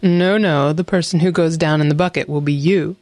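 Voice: medium pitch, steady tone, brisk, darkish tone, dry recording, lightly acted. A storybook narrator voicing a greedy, suspicious male wolf who gives bossy, threatening commands. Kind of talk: animal fairy tale storytelling.

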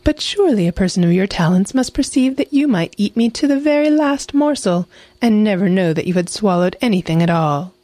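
A woman voicing a sly, polite mother fox who is thin and hungry, talking smoothly and flatteringly. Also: medium pitch, a little fast, dry recording, voice pushed up, natural voice.

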